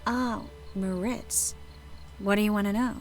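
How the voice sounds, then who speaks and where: A playful young sorceress from a fantasy game, played by a woman, coy and teasing. She takes her time with each phrase in a mid-pitched voice, with faint music underneath. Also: fairly even intonation, a little slow, natural voice. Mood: relaxed, wistful. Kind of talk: video game dialogue acting.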